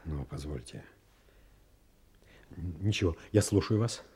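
An actor playing a thoughtful, obliging doctor and wry confidant in an audio drama, musing hesitantly with dramatic pauses. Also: male voice, low-pitched, expressive, darkish tone, husky, full character voice. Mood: incredulous, musing.

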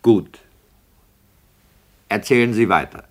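A man's voice: on the low side, takes it slowly, fairly bright tone. A man playing a skeptical radio play director, formal, critical and precise, in scripted 1960s radio dialogue. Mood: dry, conceding.

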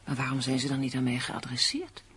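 A woman's voice: rumbling low, little pitch movement, a little fast, fairly bright tone, husky, full character voice. An actress playing an anxious, fretful woman under threat in a Dutch radio drama. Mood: defensive, unsettled. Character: anxious woman menaced by threatening anonymous letters. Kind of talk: Dutch radio play acting.

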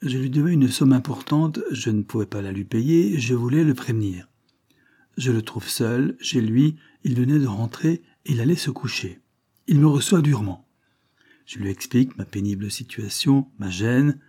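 A man's voice: medium pitch, normal speed, mellow, husky. A man voicing an agitated man confessing a crime to his lover, exalted and dramatic, as in an audiobook. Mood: desperate, exasperated. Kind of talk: dramatic audiobook character reading.

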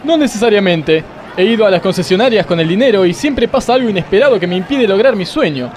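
An actor with an Argentine accent playing a melodramatic, plaintive judge in a comic radio play. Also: male voice, high-pitched, animated, fast talker, a faint crowd, a little hiss or hum. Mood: woeful, earnest. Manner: plaintive, theatrical. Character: woeful, melodramatic male judge lamenting his petty troubles. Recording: radio sketch with music underneath.

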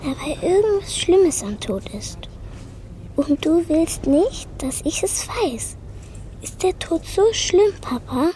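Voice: very high-pitched, big pitch swings, slow, mellow, slight background noise, exaggerated voice, rough recording. A performer playing an inquisitive small girl, earnest and persistent, in radio drama style. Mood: curious, earnest. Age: child. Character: inquisitive four-year-old girl questioning her father.

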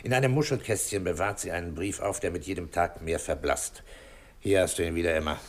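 Man acting calm police inspector, lowish voice, measured n commanding, old german radio crime play. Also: natural voice. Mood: measured summing-up. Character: calm, authoritative police inspector leading an interrogation.

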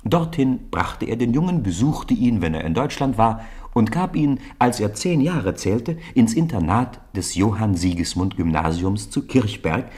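A man narrating a 1950s radio play as a measured, expressive storyteller. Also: lowish voice.

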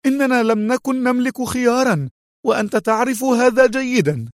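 A man voicing a stern, nervy woman security adviser, with a sharp, commanding manner, in a dramatised audiobook. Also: very high-pitched, fairly quick, no echo, clean studio sound, voice pushed up.

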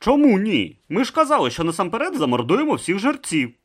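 A man voicing a gruff, suspicious, burly male thug in a storybook reading. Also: sits high, huge pitch swings, brisk, voice pushed up, exaggerated voice.

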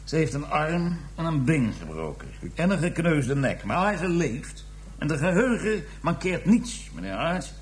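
An actor playing a confident, sardonic police detective, formal and needling, in a radio drama. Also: male voice, a little high, very animated, measured pace, a little hiss or hum. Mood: sardonic, assured. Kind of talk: radio drama acting, interrogation scene.

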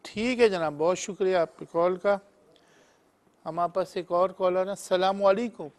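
A middle-aged man hosting a call-in health show as a hakeem, asking callers quick routine questions. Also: high-pitched, fairly even intonation. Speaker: hakeem host answering callers.

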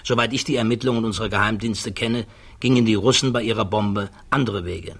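An actor playing a principled, quietly defiant physicist under interrogation, speaking deliberately and precisely in the style of 1960s German radio drama. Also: male voice, lowish voice, slightly rough. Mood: measured. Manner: deliberate, precise, formally articulate.

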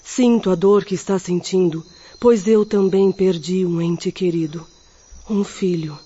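A woman's voice: on the low side, steady tone, a little slow, warm tone, slightly rough. A woman playing a compassionate but firm middle-aged spirit medium in a radio drama, earnestly consoling and exhorting. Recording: muffled, lo-fi radio play audio.

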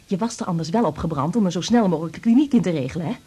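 An actress playing a headstrong, defiant young woman, husky and combative, in a radio drama. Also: lowish voice, lively intonation, very fast, mellow. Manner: combative, emphatic. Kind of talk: naturalistic radio drama acting.

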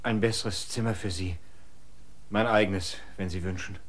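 An actor in a radio play voicing a village innkeeper who speaks tersely and guardedly. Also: male voice, lowish voice, steady tone, normal speed, natural voice. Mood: servile. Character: curt male village innkeeper, later servile.

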